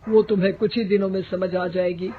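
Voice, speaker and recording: An actor playing a shrewd, forgiving father in an animated moral story, measured and paternal. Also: mid-range voice, slight background noise, lightly acted. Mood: knowing, forgiving. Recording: thin phone-like sound.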